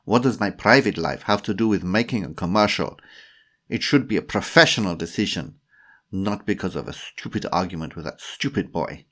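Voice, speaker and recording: A man's voice: on the low side, expressive, moderate pace, creaky, hardly any pauses. Man doing a haughty, fiery Russian woman. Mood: furious. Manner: indignant, demanding.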